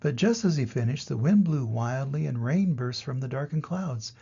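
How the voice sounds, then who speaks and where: Creaky-voiced older man reading a storybook. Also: medium pitch, lively intonation, moderate pace, mellow, muffled recording. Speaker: grandfather reading stories aloud. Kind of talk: expressive children's storybook narration.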